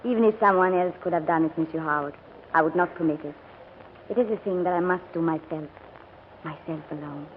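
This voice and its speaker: A woman's voice: deep voice, fairly quick, natural voice. An actress playing an earnest, guarded young woman in a 1940s wartime radio drama. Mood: solemn. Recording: tinny, noisy old radio broadcast.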